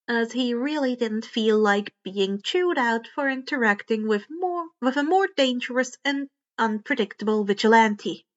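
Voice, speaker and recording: A woman reading fan fiction aloud as an expressive narrator, now and then stumbling over words. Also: fairly high pitch, highs cut off. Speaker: podfic narrator.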